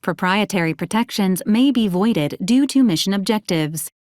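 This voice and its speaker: A female robot assistant from a video game, acted by a woman, politely officious and literal. She delivers formal advisories in a clipped, deadpan manner at a middling pitch. The sound is dry, with no room around it. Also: normal speed, no room sound, close to an everyday voice. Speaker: robot companion giving mission advisories. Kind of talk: scripted video game character lines.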